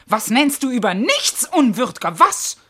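An actress playing an indignant, sharp-tongued servant wife in a classical verse radio drama, declaiming with scorn. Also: female voice, fairly high pitch, big pitch swings, a little slow, breathy edge, heavily acted. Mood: furious, scolding.

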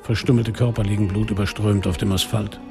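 An actor playing a weary, defiant middle-aged police inspector who narrates and speaks bluntly in a German radio crime drama. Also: male voice, on the low side, fairly even intonation, a little fast, vocal fry, over music. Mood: somber. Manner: blunt, sardonic, literary phrasing. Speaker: lead actor and first-person narrator.

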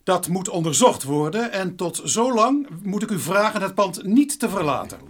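A man playing a weary, brisk, dryly sardonic police inspector in a radio drama. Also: high voice, sing-song. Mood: formal, projecting. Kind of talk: Dutch radio drama acting.

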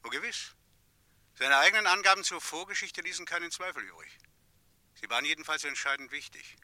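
A man's voice: fairly high pitch, lively intonation, fairly quick, bright, forceful tone, dry recording. A man acting as a self-assured, formal professor of psychiatry in a German radio crime drama, speaking with clinical precision.

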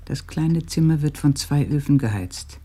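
A man's voice: fairly high pitch, expressive, moderate pace, mellow, breathy edge. An actor playing a sober, subdued village man who describes daily life plainly in a literary radio play.

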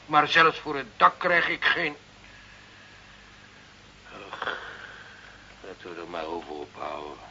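A man acting as a fatherly, pious village priest in a radio play, gently scolding in an unhurried way. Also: sits low, very animated, exaggerated voice. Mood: rueful, complaining. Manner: unhurried, pious, fond of quoting scripture. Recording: muffled, hissy old radio recording.

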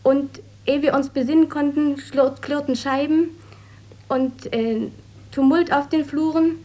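High-voiced German woman recounting her experience. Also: fairly even intonation, a little slow, dark tone. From East Germany. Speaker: refugee eyewitness. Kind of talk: recounting a personal ordeal in an interview.

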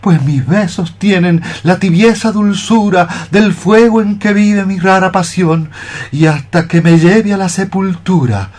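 A young cockroach poet for radio theatre, dreamy and lovelorn. His rather high voice declaims verse in a plaintive, lyrical way. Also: unhurried, a little mellow. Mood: rapt, yearning.

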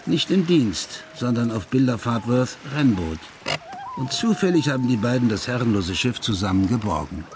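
A man's voice: mid-range voice, close to an everyday voice. Casting: man to play ironic ex-cop in exile, radio crime drama, mid-range voice, easy storytelling manner, light noise behind. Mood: dry irony. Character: Dutch ex-police detective, wry laid-back man in exile.

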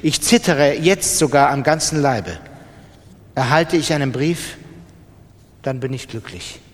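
An actor playing a desperate gambler pleading by letter in a radio play, with an urgent, agitated, insistent manner. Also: male voice, mid-range voice, lively intonation, a little slow, lightly acted. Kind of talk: literary radio play monologue, letter reading. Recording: long reverberant hall.

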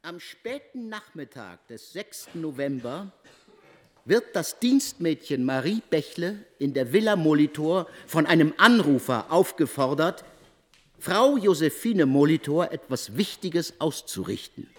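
Reverberant, animated male narrator. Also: on the high side, unhurried. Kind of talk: scripted documentary-style narration in a radio drama. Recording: long hall reverb.

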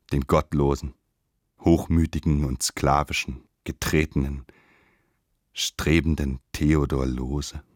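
A man narrates a literary radio drama in a measured, declamatory way with emphatic phrasing. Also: very deep voice, husky, no echo, clean studio sound.

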